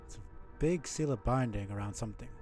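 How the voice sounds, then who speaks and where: A man's voice: medium pitch, fast talker, darkish tone, soft background music. A man giving casual live commentary on a video game as he plays, thinking aloud and swearing offhandedly.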